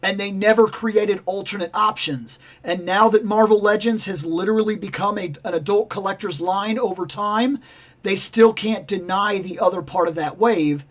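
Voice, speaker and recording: Casting: high-pitched male livestream host, raspy and hoarse, rambling and animated. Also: normal speed. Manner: rambling, animated, self-interrupting. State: raspy, losing his voice. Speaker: toy channel livestream host. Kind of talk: casual livestream chat.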